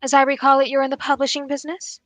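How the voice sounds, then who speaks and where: A young woman playing a keen, courteous detective in a radio mystery, phrasing everything formally and deliberately. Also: sits high, monotone, no room sound, close to an everyday voice. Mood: composed, probing. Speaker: actor in a student radio drama. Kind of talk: old-time radio mystery acting.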